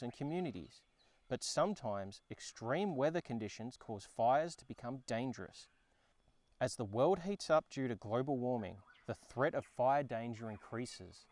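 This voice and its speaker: An Australian man narrating a children's storybook in a dramatic, expressive way. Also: medium pitch, normal speed, no room sound. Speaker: storyteller and host. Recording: background ambience and effects noise. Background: sound effects and ambience.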